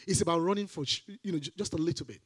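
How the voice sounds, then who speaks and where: A middle-aged preacher talks into a handheld mic from a church stage, his voice pitched high for a man. He swings into emphatic repeated lines as he drives his points home. The sound is close and dry, with little room echo. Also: rapid-fire, breathy edge. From Nigeria. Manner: animated, rising to emphatic repeated phrases. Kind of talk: sermon.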